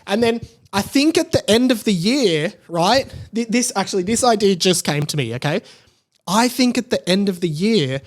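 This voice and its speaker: A man in his late twenties talking casually and animatedly on a solo podcast, rambling and self-deprecating. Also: on the high side. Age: late 20s. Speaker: podcast host.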